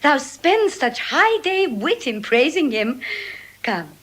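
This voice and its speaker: Poised noble heroine, stage verse, wry and commanding, slow, highish and richly inflected. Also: female voice, sing-song, a bit bright, heavily acted. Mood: playful, eager. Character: poised, witty noble lady, dryly commanding. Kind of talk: classical stage verse acting.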